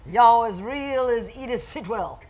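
A man voicing an excitable, mocking society woman, breathy and giggly. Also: extremely high pitch, a little slow, voice pushed up.

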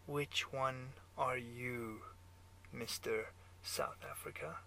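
Guy doing a drunk sneering german bloke at a bar, thick slow taunting talk, loud n gravelly, german accent. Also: mid-range voice, fairly even intonation, a little hiss or hum, full character voice. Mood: menacing. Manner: taunting, halting questions with tag "yes?". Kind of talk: dramatised character dialogue in a story reading.

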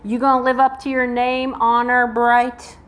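A woman's voice: on the high side, little pitch movement, unhurried, a bit bright, pitched above the performer's own voice. A woman voicing a menacing, mocking man with a Southern American accent and a taunting drawl, in a live book reading. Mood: amused, mocking. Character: tall menacing mocking male slave hunter on horseback. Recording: noisy live event room recording.

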